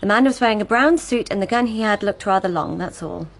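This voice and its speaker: A woman's voice: medium pitch, fast, natural voice. An actress playing a guarded, defensive woman under police questioning in a radio drama, curt and resentful.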